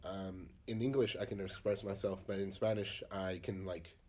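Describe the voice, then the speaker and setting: Soft-spoken young man, halting interview answers. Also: low-pitched, steady tone. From United States. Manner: halting, many fillers and restarts.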